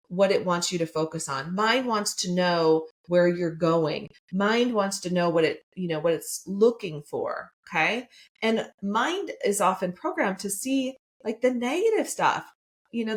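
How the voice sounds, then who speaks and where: A woman in her fifties talks through a motivational podcast episode. Her pitch sits in a plain middle range. She sounds upbeat and chatty and keeps checking in with her listeners. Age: 50s. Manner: enthusiastic, chatty, frequent "right?". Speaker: podcast host and coach. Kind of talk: solo podcast, motivational talk.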